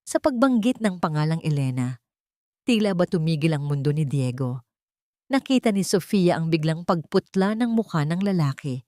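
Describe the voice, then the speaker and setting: Woman, story narrator, scripted dramatic delivery, slightly low pitch, dry studio sound. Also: lively intonation, moderate pace, warm tone, no room sound.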